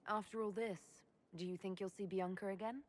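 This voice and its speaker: A woman's voice: medium pitch, steady tone, a little hiss or hum, natural voice. Woman as a composed, direct fantasy leader. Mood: frank, calm. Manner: measured, direct. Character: composed, level-headed female leader of a fantasy order. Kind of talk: dramatic video game voice acting.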